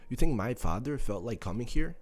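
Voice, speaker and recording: A man's voice: medium pitch, big pitch swings, fairly quick, a little mellow, faint music behind. A man in his late teens, from Serbia and the US, gives an emphatic motivational speech built on repeated phrases and rhetorical questions. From born in Serbia, moved to the US. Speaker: motivational content creator.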